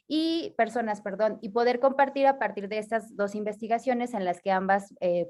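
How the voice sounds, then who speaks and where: A Mexican woman hosting a workshop over a video call, upbeat and brisk as she welcomes people and hands over. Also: medium pitch, darkish tone. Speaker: workshop host. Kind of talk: moderating.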